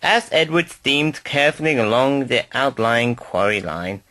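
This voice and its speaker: A man's voice: medium pitch, lively intonation, unhurried, pushed and bright, no echo. A man reading a scripted children's story aloud as narrator.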